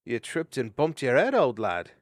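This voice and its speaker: A man's voice: medium pitch, wildly swooping pitch, normal speed, no room sound, studio quality. A man doing a jokey, easygoing young mouse. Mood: jovial. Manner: teasing and jovial. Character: easygoing, joking young male mouse warrior.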